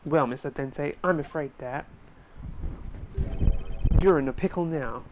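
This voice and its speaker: Confident young male defense lawyer, methodical and pointed, somewhat high voice, in a game fan dub, voiced by a man. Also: a little slow, noisy background, close to an everyday voice.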